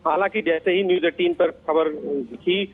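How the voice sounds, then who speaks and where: A male reporter delivers a live field report in a fairly high-pitched voice. The sound is thin and narrow, like a phone line into a news studio. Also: steady tone, moderate pace.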